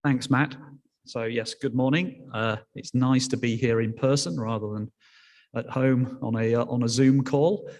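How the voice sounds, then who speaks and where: A man with a Yorkshire accent giving an informal business presentation in a somewhat halting way. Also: mid-range voice, darkish tone. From Yorkshire, England. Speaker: company executive, guest speaker.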